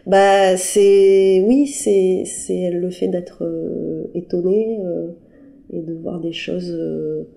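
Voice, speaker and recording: A woman artist answering questions in a halting, conversational way, with many fillers and restarts. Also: on the low side, steady tone, creaky, mellow. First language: French. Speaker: interviewed artist. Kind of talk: hesitant conversational interview. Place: her apartment.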